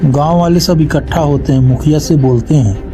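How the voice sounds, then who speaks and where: Brief: steady male storybook narrator, over clear background music. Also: a little high, normal speed. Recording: music playing underneath.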